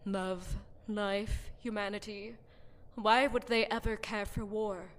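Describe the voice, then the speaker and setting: A woman's voice: mid-range voice, fairly even intonation, slow, natural voice. A woman in an audio drama playing War as a wistful, shy being in love, speaking pensively and hesitantly. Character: female personification of War, wistful, shyly in love.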